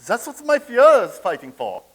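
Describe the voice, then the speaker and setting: A man's voice: very high voice, huge pitch swings, brisk, breathy edge, heavily acted. A man in a staged reading playing a pompous, blustering loyalist of a fascist dictator who stammers when challenged.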